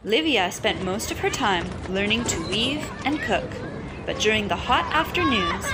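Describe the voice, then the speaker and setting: A woman reading a children's picture book aloud in an expressive, dramatic storytelling style. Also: mid-range voice, moderate pace. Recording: loud music and crowd sounds underneath. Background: music and crowd noise.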